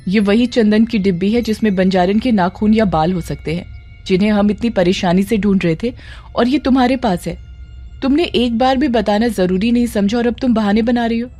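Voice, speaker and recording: A determined young woman voiced by a female narrator in a horror audio drama, suspicious and accusing. Her mellow, mid-pitched voice stays controlled and pointed over faint music. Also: a little fast, lightly acted. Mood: irritated.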